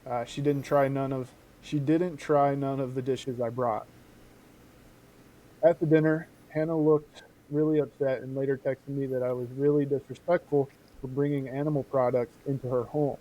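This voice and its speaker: A man's voice: medium pitch, little pitch movement, moderate pace, lo-fi sound. A man co-hosting a podcast in an informal, conversational way. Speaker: podcast co-host. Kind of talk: casual chat and reading posts aloud.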